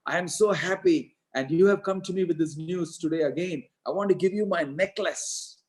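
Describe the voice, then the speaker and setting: A man's voice: a little high, moderate pace, a little mellow, pitched above the performer's own voice, close to an everyday voice. A man voicing a joyful, trusting young queen who gushes with delight. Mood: gushing. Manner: gushing, bewildered.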